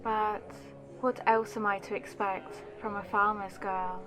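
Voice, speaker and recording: A woman's voice: mid-range voice, flat delivery, measured pace, fairly bright tone, faint music behind, natural voice. A woman playing an aristocratic elder vampire in an ASMR audio roleplay, composed and commanding, with formal, condescending poise.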